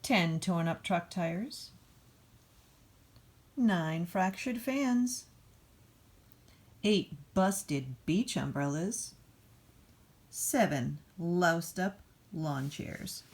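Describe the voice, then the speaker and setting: A woman's voice: on the low side, extremely slow, pauses often. A middle-aged woman, a pre-kindergarten teacher, talking to young children and reading a picture book aloud. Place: indoor room at home.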